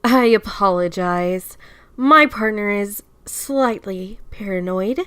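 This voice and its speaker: A woman voicing a calm, sensible, sardonic female wolf with a polite, deliberate manner. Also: medium pitch, clear, resonant tone, no room sound. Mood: dry patience.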